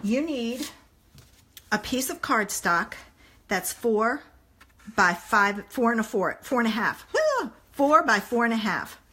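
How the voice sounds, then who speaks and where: A woman in her fifties, a little hoarse, chatting casually to viewers in a home craft live stream, recorded on a tablet held at arm's length indoors. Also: fairly high pitch, big pitch swings, slow pace, sharp tone. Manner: chatty, rambling, talks straight to viewers. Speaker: papercraft demonstrator. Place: home living room, indoors. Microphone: phone or tablet camera at arm's length.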